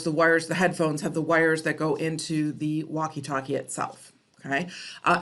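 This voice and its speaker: Slightly low-voiced woman giving an informal tutorial. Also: fairly bright tone. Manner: conversational, explanatory, checks in with okay and all right. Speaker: presenter of a library technology tutorial. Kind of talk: informal screen-share tutorial.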